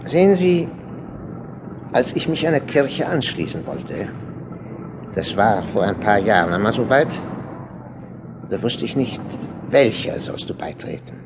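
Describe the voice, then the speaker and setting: This is a sceptical man in a radio play. He argues earnestly and deliberately, his creaky voice rising and falling with emphasis. A faint noise sits behind him. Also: lowish voice, big pitch swings. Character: earnest male passer-by, sceptical seeker outside the church. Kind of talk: German radio play dialogue.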